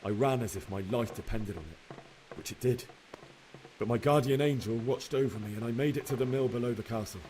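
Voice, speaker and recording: An actor playing a young blacksmith's son in a video game, earnestly and sorrowfully recounting a massacre. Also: male voice, medium pitch, fairly quick, darkish tone, slight background noise, natural voice, poor audio. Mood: shaken. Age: young adult. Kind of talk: dramatic game cutscene acting.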